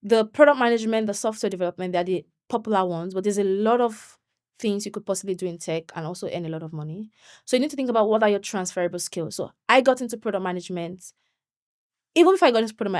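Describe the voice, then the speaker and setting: A young woman, a guest on an interview show, chatting in an animated way and laughing often. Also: medium pitch, no echo, clean studio sound. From Nigeria. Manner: animated, laughs often, quick bursts when excited. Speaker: podcast guest. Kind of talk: conversational interview.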